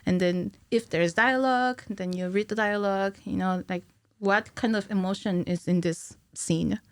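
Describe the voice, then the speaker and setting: Female podcast guest, thirties, mid-range voice, conversational explainer who pauses to think; dry studio recording. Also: medium pitch, no echo. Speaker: podcast guest, cinematic animator. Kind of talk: conversational technical explanation in an interview. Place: small indoor podcast studio. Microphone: studio microphone on a stand.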